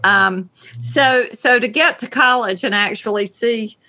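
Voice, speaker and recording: Woman, Southern accent, middle-aged, slow pace, bright tone, thoughtful pauses, video call. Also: fairly high pitch. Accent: Southern American. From North Carolina, USA. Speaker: novelist guest. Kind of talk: relaxed interview on a video call. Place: home writing room.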